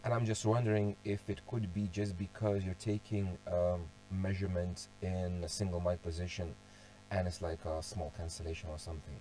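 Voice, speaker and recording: A young man who is sick and going hoarse walks through a tutorial casually, thinking aloud, restarting phrases and clearing his throat often. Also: deep voice, little pitch movement, normal speed, warm tone, faint music behind, poor audio. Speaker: car audio hobbyist presenting a tuning walkthrough. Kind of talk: casual screen-share tutorial.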